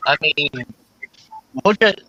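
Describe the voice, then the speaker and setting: A man hosts a relaxed group chat, talking slowly and wandering through his points with lots of restarts. His tone is bright and forward. The sound is dry and a bit dull, like a lo-fi home mic. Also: a little high, no echo. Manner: rambling, many restarts and fillers.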